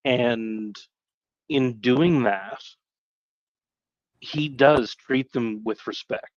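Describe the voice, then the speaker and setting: Low-voiced man, halting and rambling. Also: no echo, lo-fi sound. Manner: halting, rambling, self-correcting. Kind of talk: casual live stream chat.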